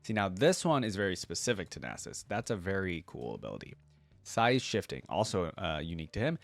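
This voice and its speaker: Man, low voice, dry close sound, casual reaction commentary, informal, thinking aloud. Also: very animated, brisk, vocal fry, dry recording. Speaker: reaction video host.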